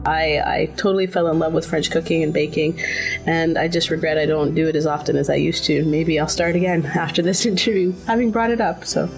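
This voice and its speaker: A woman's voice: low voice, steady tone, fairly quick, over music, rough recording. A middle-aged woman whose first language is Finnish, answering interview questions in a thoughtful way, with many fillers and restarts.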